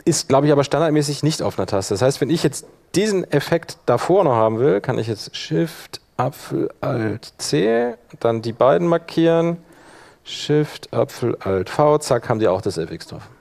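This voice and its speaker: Man giving an informal software tutorial. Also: medium pitch, sing-song, normal speed, vocal fry. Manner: colloquial, thinking aloud while demonstrating. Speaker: workshop presenter.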